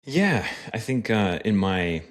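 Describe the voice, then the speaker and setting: A man's voice: fairly low pitch, very animated, slow. A man talking as a podcast guest, a pastor and writer, speaking in an earnest, reflective way with frequent fillers. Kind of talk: casual interview chat.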